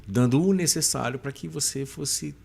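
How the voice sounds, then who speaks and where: A man's voice: mid-range voice, normal speed, gravelly, a little mellow, husky. A man with a Brazilian Portuguese accent hosting a live podcast, chatting informally and affectionately with listeners. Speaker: podcast host. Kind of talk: casual live podcast chat, reading out chat greetings.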